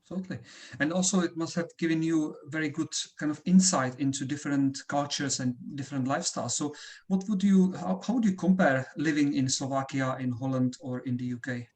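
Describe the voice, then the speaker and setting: A man's voice: fairly high pitch, a little fast, darkish tone. A man interviewing a guest over a video call, polite and hesitant with frequent fillers.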